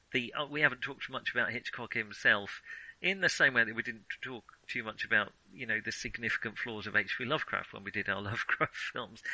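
A man with a very bright, crisp-edged voice talks through his views on film as a co-host. He feels his way through opinions, hesitating and rephrasing. The sound is dry, with little room around him. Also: medium pitch, no echo, poor audio. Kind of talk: casual film discussion.

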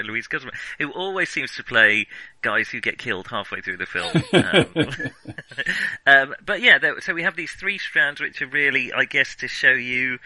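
A man's voice: mid-range voice, pushed and bright, no room sound, low-quality recording. A man hosting a casual film discussion, talking in a hesitant, rambling way and often interrupting himself. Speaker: film podcast host.

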